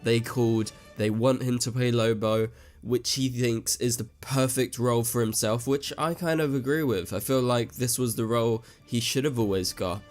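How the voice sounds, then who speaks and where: A man's voice: medium pitch, steady tone, moderate pace, few pauses, soft background music. A man hosting his own online show, breaking down news in a casual, chatty way with frequent asides, his voice a little off as he recovers from an illness. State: voice slightly off after an illness. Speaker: YouTube show host. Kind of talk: casual news commentary to camera.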